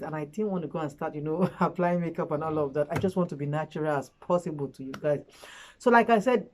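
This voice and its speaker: A woman giving relationship advice in a casual, earnest vlog chat, her voice hoarse from a cold. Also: low voice, a little mellow, slight background noise. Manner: chatty, earnest, frequent fillers. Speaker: relationship vlogger. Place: at home late at night.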